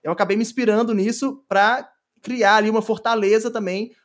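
High-voiced Brazilian man in a podcast chat. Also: fairly even intonation, a little fast. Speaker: audio drama creator, podcast guest. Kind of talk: casual podcast interview.

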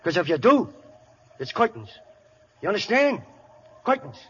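A hoodlum who talks in clipped, menacing slang. His voice is husky and sits a little high. The broadcast sounds muffled and old. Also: sing-song, moderate pace, heavily acted. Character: tough-talking male gangster. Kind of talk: 1930s radio acting. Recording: scratchy 1930s radio broadcast.

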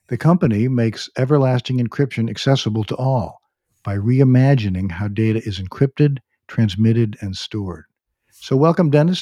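A man's voice: medium pitch, warm tone, no echo. A man hosting a tech podcast, formally introducing his guest and asking long, polite questions. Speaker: podcast host. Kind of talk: interviewing a guest.

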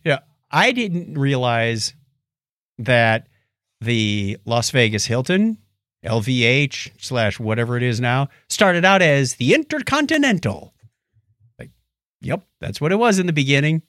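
A man's voice: medium pitch, big pitch swings, unhurried, fairly bright tone, dry recording, clean studio sound. A man co-hosting a podcast in casual chat, recounting stories and acting out quoted remarks as he goes. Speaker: podcast co-host.

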